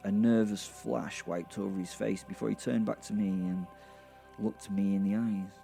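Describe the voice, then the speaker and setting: Low-pitched, gravelly male storyteller. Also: fairly even intonation, moderate pace, dark tone, soft background music. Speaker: narrator of an audio drama. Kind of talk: first-person comic horror storytelling.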